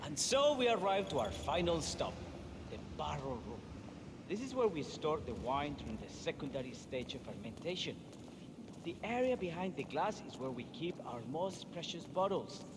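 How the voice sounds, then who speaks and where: An actor playing a jovial, formal winery tour guide, theatrical and eager to please, in a video game. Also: male voice, on the high side, background noise. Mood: grand, proud.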